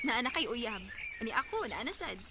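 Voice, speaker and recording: A woman's voice: on the high side, very animated, fairly quick. A woman with a Filipino Cebuano accent acting a playful, coy ex-girlfriend in a radio drama. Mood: coy, amused. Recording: noisy radio drama with ambience.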